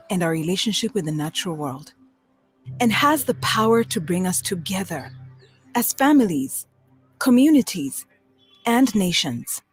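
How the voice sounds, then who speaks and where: A woman giving earnest, measured scripted narration for an informational video. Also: mid-range voice, breathy edge. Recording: music bed under narration.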